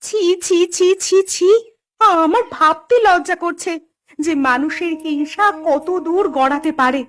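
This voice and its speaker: A woman's voice: very high-pitched, unhurried. A woman playing an anxious, caring fisherman's wife in a cartoon, with a worried, fretful manner. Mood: dismayed. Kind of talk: Bengali cartoon dub.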